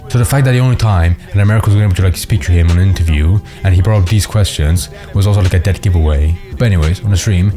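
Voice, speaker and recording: A man narrating casual commentary, peppering his talk with "like". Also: sits low. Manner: casual, filler "like" throughout. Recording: voice-over with music behind.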